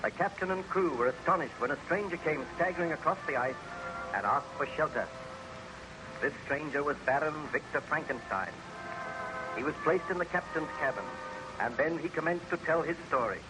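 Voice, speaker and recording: Male vintage radio narrator, higher-pitched, formal and dramatic, clear music underneath. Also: normal speed, rough recording. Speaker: announcer and narrator. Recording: old radio serial recording.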